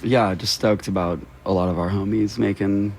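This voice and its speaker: A man's voice: on the low side, steady tone, moderate pace, darkish tone. An American man talking casually as an interview guest, in a laid-back, slangy way. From Seattle, United States. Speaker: interview guest, local musician and label co-founder.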